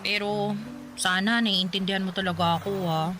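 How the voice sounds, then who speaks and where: A woman playing a cheerful, ambitious college girlfriend in an audio love-story drama, chatty and expressive, playful but firm. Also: mid-range voice, normal speed, natural voice. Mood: earnest. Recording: music playing behind the voice.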